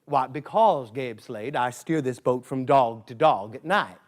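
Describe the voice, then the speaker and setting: A storyteller doing a slow-drawling riverboat captain. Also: natural voice. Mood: easygoing. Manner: drawling, lowered, unhurried. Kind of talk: live storytelling.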